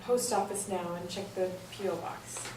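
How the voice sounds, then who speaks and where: A woman's voice: medium pitch, fairly even intonation, moderate pace, darkish tone, natural voice. An actress playing a sweet, flighty, perky woman in a staged play reading. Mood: flustered. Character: sweet, flighty ex-stripper woman, eager helper. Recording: noisy, roomy, distant stage recording.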